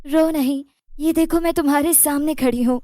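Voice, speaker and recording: A woman's voice: sits high, fairly even intonation, normal speed, warm tone, a little hiss or hum, natural voice. A woman voicing the tender ghost of a dead mother in a cartoon story, soothing and reassuring. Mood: comforting. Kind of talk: Hindi cartoon moral story.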